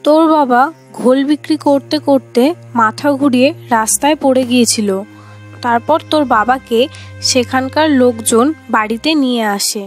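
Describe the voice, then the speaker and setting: A worried village mother in a cartoon , played by a woman. Her voice is high, and she explains things with gentle, caring concern over faint music. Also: moderate pace. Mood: worried, protective.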